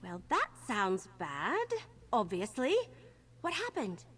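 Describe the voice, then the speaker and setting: A woman's voice: mid-range voice, wildly swooping pitch, takes it slowly, a bit bright, breathy edge, heavily acted. A woman voicing a perky, rambling young wood elf adventurer in a video game. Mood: chipper. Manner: bubbly, rambling. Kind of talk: video game character dialogue.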